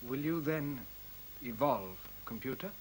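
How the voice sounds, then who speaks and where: An actor playing a polite, inquisitive scientist putting questions in a radio play. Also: male voice, a little high, very animated, measured pace, creaky, darkish tone, full character voice. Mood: curious. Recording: faint tape hiss.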